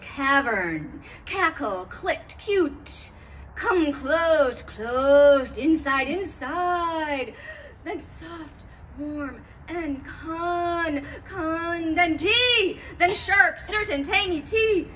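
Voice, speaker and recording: A woman performing a bold, sensual stage poem, relishing single words with drawn-out emphasis. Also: extremely high pitch, animated, a bit bright, heavily acted. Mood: savoring, sensual. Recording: live stage, faint venue noise.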